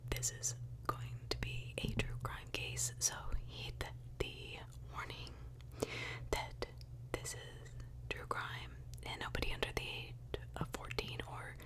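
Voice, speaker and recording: A woman speaking in a hushed, breathy whisper, telling true crime ASMR style in an informal, emphatic way from inside a small closet. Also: slow pace.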